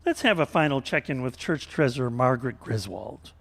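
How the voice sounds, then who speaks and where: A man's voice: medium pitch, expressive, a little fast, creaky, a bit bright. A man hosting a church fundraiser, making folksy announcements to the audience.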